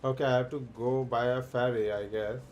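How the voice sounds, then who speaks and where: A man streaming a game, reading the quest text aloud haltingly and muttering "okay" between lines. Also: medium pitch, fairly even intonation, a little mellow, dull audio, a little hiss or hum. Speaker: livestream gamer. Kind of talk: casual let's-play commentary. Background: faint game music.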